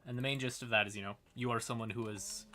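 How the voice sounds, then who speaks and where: A man's voice: medium pitch, steady tone, fast. A man hosting a livestream, explaining things casually in a folksy, rambling way with asides muttered to himself. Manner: folksy, uses y'all and howdy. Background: music quietly in the background.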